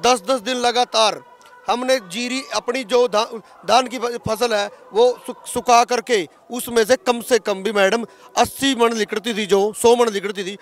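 Haryanvi guy in his 30s, really high voice, protesting farmer talking politics, repeats himself to hammer points home. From Haryana, India. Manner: emphatic, repetitive, argumentative. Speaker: protesting farmer being interviewed. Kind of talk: street interview, impassioned political talk. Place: outdoors at a roadside protest camp. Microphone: handheld interview microphone.

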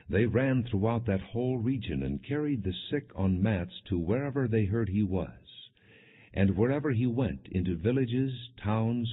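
A man reading scripture as an audiobook narrator in a measured, solemn, clearly articulated way. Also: deep voice. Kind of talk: scripted narration, non-dramatized Bible reading. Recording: muffled, narrow-band lo-fi audio.

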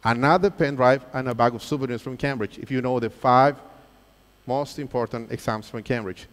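A man's voice: medium pitch, moderate pace. A man, presenting to a room of teachers and quizzing the crowd. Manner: lively, engaging, asks the crowd questions. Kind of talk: live quiz-style presentation to an audience. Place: large auditorium stage. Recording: reverberant hall sound.

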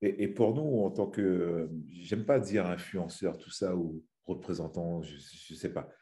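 Brief: man, 30s, deep and very dark voice, rambling casual guest on a webcam call from home. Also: a little slow. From French Guiana. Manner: rambling with many restarts and fillers. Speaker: podcast guest, fitness influencer. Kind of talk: casual video-call interview. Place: small room at home. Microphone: webcam on a video call.

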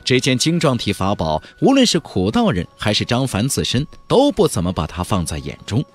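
Husky, highly animated male audiobook narrator. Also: fairly quick, faint music behind. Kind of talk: dramatic scripted fantasy narration.

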